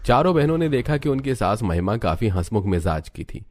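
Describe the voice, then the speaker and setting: A man's voice: fairly low pitch, very animated, a little fast, a little mellow, no echo, clean studio sound. A man narrating a scripted family story for a cartoon, as a storyteller.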